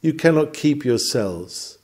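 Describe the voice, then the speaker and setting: A man's voice: on the high side, expressive, slow, a little mellow. A man reading a scripted sermon in an earnest, exhorting, oratorical manner. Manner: earnest, exhorting, rhetorical delivery. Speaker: sermon reader.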